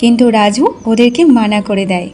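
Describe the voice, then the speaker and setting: A woman's voice: medium pitch, steady tone, brisk, mellow, soft background music. A woman reading scripted narration for a cartoon folk-style story.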